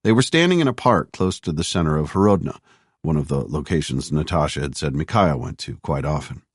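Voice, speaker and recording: A man reading a thriller audiobook in a measured, even storytelling manner. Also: rumbling low, slightly rough, no room sound, studio quality. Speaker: audiobook narrator. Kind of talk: scripted narration.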